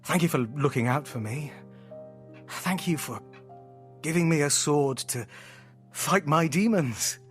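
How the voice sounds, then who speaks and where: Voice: mid-range voice, lively intonation, a little slow, pauses often, soft background music, lightly acted. An actor in an audio drama playing a theatrical, playful storyteller friend with hammy flourishes and sheepish, affectionate asides. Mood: tender, apologetic.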